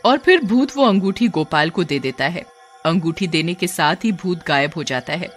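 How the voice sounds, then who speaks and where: A woman's voice: fairly low pitch, lively intonation, moderate pace. A woman working as narrator, reading a scripted children's story.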